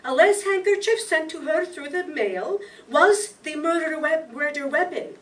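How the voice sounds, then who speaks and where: A woman playing a shrewd, confident lady detective in a staged radio mystery, reading deliberately and dramatically. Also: high-pitched, expressive, a bit bright. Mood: triumphant, accusing. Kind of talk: 1940s radio mystery acting, read from script. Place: live staged reading in a meeting hall. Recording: distant mic in noisy room.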